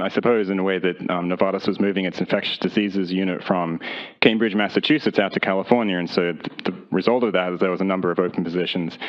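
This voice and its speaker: Very deep-voiced man on a panel. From Australia. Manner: halting, repeats words. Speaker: panelist, industry chemist. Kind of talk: career panel talk. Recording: dull lo-fi room recording.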